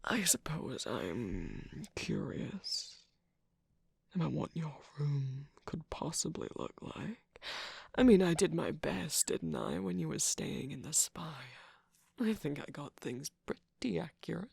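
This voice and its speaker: Someone doing a tired smug cartoon trickster villain, super creaky n breathy, slow teasing drawl, whispery asmr roleplay. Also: medium pitch, big pitch swings, darkish tone, dry recording, exaggerated voice. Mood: sly, curious. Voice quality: breathy, husky, creaky, often dropping into whisper. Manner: drawling, teasing, mock-grand. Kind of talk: ASMR character roleplay, intimate acting.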